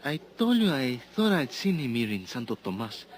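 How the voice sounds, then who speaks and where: A man's voice: medium pitch, big pitch swings, normal speed, natural voice. A man playing a nervous, eager Latin bellboy. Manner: hesitant, deferential, says senor often. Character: nervous young male hotel bellboy, eager for tips. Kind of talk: 1950s radio drama acting.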